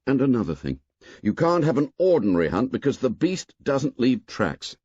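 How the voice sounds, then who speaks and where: A man's voice: mid-range voice, very animated, moderate pace, darkish tone, slightly rough, dry recording, highs cut off, pitched above the performer's own voice. A man doing a firm, earnest boy leader. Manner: firm, reasoning.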